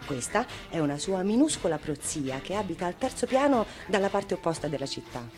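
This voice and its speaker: A woman voicing a cheeky, fearless nine-year-old girl in a storybook reading, playful and defiant, quick to exclaim. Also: fairly low pitch, very animated, a little mellow, soft background music.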